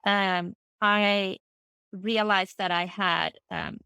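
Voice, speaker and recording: A middle-aged woman from Finland whose first language is Swedish, a translator talking about her career as an interview guest, relaxed and thoughtful, with plenty of fillers and restarts. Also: mid-range voice, very slow, vocal fry, clean tone, no room sound. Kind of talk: casual conversational interview.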